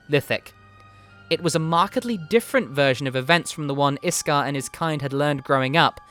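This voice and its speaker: Higher-pitched man, dramatic story narrator. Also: fairly bright tone. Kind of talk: dramatic fantasy story reading. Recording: music behind the voice. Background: background music.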